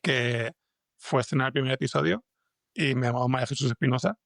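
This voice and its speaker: A man with an accent from Spain telling anecdotes as an interview guest, in a halting way with many restarts. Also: mid-range voice, gravelly, fairly bright tone, no room sound, clean studio sound. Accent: Spanish (Spain). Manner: halting, restarts sentences often. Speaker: podcast fiction writer, interview guest. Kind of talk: conversational interview, anecdotal storytelling.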